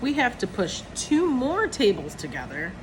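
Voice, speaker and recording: A woman's voice: a little high, huge pitch swings, normal speed, voice pushed up. A woman voicing a jovial, practical storybook husband who eagerly reassures everyone, with noise behind. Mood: reassuring. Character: jovial, practical family man hosting a dinner.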